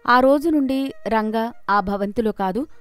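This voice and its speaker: A woman's voice: fairly high pitch, a little slow, clear, resonant tone, soft background music. A woman storyteller reading a scripted moral folk tale.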